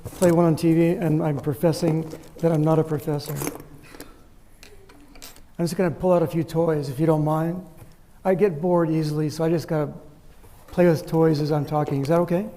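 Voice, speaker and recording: A soft-spoken man presenting on a lapel mic at a club meeting, joking about himself in an easygoing way. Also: fairly high pitch, little pitch movement, moderate pace. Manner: soft-spoken, self-deprecating joker. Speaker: guest presenter. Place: meeting room. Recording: reverberant room with faint background noise.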